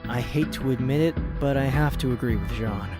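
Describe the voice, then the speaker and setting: A man's voice: medium pitch, a little fast, natural voice. A man playing a puzzled, serious young squad member in an audio drama, with a questioning manner. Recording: loud music behind.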